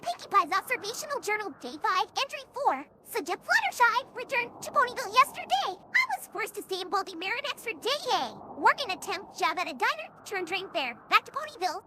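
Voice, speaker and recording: A woman's voice: extremely high pitch, big pitch swings, a little fast, few pauses, pushed and bright, heavily acted. A synthetic female voice playing a bubbly young cartoon pony detective in a fan audio drama. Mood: dry, exasperated. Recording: clear background noise, clicks.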